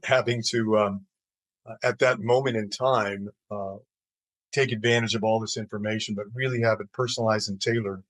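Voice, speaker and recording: A man's voice: on the low side, a bit bright, no room sound, low-quality recording. Older American man, dry close sound. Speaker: interview guest, healthcare executive. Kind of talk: conversational interview answers.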